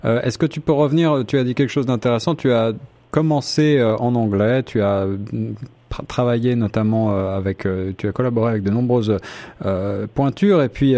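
A man's voice: medium pitch, dark tone. A man hosting a radio show, interviewing a guest with long, winding, conversational questions. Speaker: radio host.

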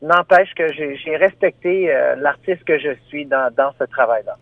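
A man's voice: on the high side, moderate pace, no echo. A man with a Franco-Ontarian French accent, a singer talking about his album over the phone, hesitant and full of fillers. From Ottawa, Ontario. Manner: hesitant, many fillers and restarts. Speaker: singer guest. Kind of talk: phone interview. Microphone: telephone. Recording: tinny phone line.

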